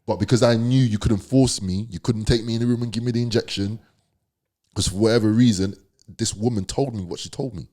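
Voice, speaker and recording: A man with a London accent and a deep voice, telling a personal story as a podcast guest in slangy, emphatic conversation. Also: brisk, dark tone. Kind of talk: candid storytelling.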